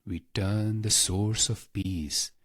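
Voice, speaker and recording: A man with a husky, breathy voice guides a scripted meditation in short, deliberate phrases, solemn and devotional. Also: on the low side, fairly even intonation, dry recording, clean studio sound. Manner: slow, deliberate, pausing between short phrases.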